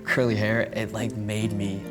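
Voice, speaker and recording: Earnest young man, lower voice. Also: level tone, moderate pace, loud music behind the voice. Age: 20s. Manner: casual, filler-heavy, earnest. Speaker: participant. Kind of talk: candid group conversation.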